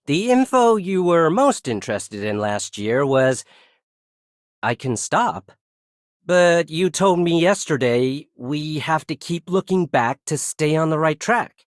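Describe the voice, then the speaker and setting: A man's voice: on the high side, very animated, slow pace, no room sound, clean studio sound. A man voicing a dutiful, dryly witty assistant in a video game. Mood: dry, earnest. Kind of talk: video game character voice lines.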